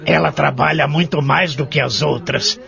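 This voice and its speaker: An actor playing a stubborn, skeptical old man in a care home, with a Brazilian accent and a creaky voice, in a radio drama. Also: fairly high pitch, moderate pace, sharp tone, full character voice. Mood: grudging. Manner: questioning, doubtful. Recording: dull lo-fi audio drama recording.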